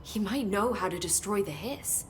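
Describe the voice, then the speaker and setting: An actress playing an earnest, knowledgeable government researcher in a video game, explaining in a measured, understated way. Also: female voice, medium pitch, expressive, noisy background, close to an everyday voice. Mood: helpful, informative. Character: earnest, knowledgeable female government agency researcher, briefing her director. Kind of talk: video game cutscene acting.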